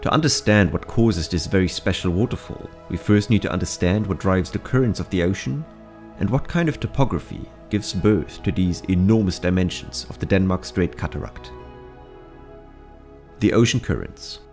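A man reading scripted documentary-style narration for an educational explainer, in a measured, explanatory manner. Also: low-pitched, expressive, a little mellow. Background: background music.

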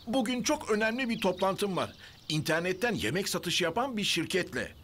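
A man's voice: high-pitched, sing-song, normal speed, a bit bright, a little hiss or hum. A man voicing an affectionate, fussy father rooster in a children's cartoon. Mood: affectionate, fretful.

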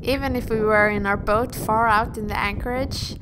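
A woman's voice: on the high side, fairly even intonation, moderate pace, background noise. A young Swedish woman reading the voice-over narration for a sailing vlog. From Sweden. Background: music.

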